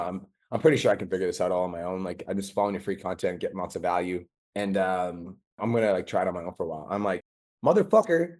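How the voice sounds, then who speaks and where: A raspy, hoarse man hosting a podcast, giving a blunt, profane motivational monologue and mocking excuses in quoted voices. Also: fairly low pitch. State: hoarse from days of talking. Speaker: podcast host and business coach.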